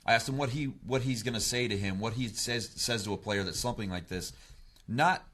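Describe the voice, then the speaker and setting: A man's voice: medium pitch, a little fast, a bit bright. A man with an American accent, a sports reporter giving blunt, critical opinions in a panel discussion.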